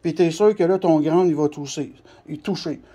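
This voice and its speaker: A man's voice: on the high side, normal speed, mellow, husky. A man with a Québécois French accent giving a casual, unscripted hands-on product demonstration in blunt, colloquial language. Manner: blunt, colloquial, mildly crude. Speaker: CB radio shop specialist presenting a product tutorial.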